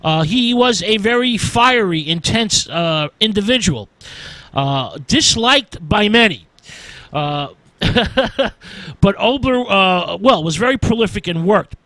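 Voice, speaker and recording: A man giving an informal film history lecture, speaking haltingly with deliberate emphasis on key words. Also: high-pitched, animated, bright, forceful tone, dry recording, low-quality recording. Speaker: film lecturer and radio presenter.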